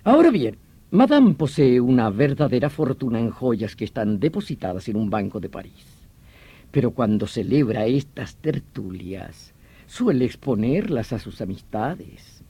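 An actor in a radio drama playing a vehement veteran detective, explaining things in an emphatic, theatrical manner. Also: male voice, mid-range voice, big pitch swings, measured pace, mellow, slightly rough, heavily acted. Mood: explanatory. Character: vehement veteran police detective obsessed with hunting a vampire villain.

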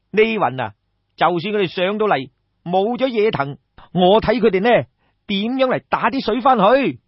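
Man acting young male rival, Cantonese accent, smug, gloating, high husky voice, folk tale villain. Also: lively intonation, unhurried, no echo, lo-fi sound. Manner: scoffing, gloating.

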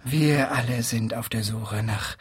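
Very husky, portentous philosopher. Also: male voice, medium pitch, a little slow, dry recording, clean studio sound. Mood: solemn musing. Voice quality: husky, breathy. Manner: solemn, portentous, breathy musing. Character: mystical, pompous male hut-visiting philosopher for hire. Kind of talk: German-language radio play acting.

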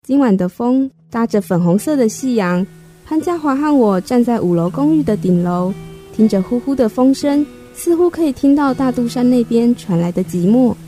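A woman narrating a scripted radio drama in a measured storytelling manner. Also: fairly high pitch, animated, warm tone, soft background music, natural voice.

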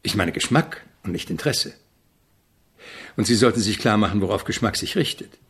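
A reflective composer and teacher in a radio play. His voice is low and husky, his measured and didactic. He is courteous yet exacting, setting out his thoughts with care. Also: lively intonation, a bit bright, heavily acted. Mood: exacting, instructive. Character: famous male avant-garde composer, reflective, exacting, polite teacher. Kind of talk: German radio drama acting.

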